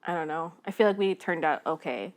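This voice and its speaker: A twenty-five-year-old woman with a slightly low voice talks as a podcast guest. Her delivery is informal and conversational, with tentative phrasing. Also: lively intonation, brisk. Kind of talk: casual chat.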